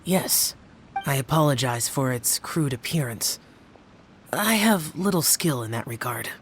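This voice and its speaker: An actress playing a calm, aloof young woman who speaks softly and composedly, with game music behind. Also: a bass voice, a little hiss or hum. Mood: quiet. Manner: soft, hushed, slow and composed.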